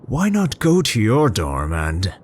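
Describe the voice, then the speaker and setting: A man playing a tender, scholarly scientist in an intimate ASMR roleplay, speaking formally and haltingly. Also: fairly low pitch, huge pitch swings, darkish tone, a little hiss or hum, exaggerated voice. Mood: soft, patient. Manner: halting, formal, professorial, hesitant pauses. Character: tender, scholarly Dutch male scientist, brooding but gentle.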